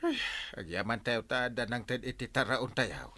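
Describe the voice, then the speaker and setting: An actor with an Ilocano accent playing an easygoing village captain who laughs often in a radio drama. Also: male voice, mid-range voice, moderate pace, bright, forceful tone, dry recording, natural voice. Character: jovial middle-aged male village captain. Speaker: barangay captain.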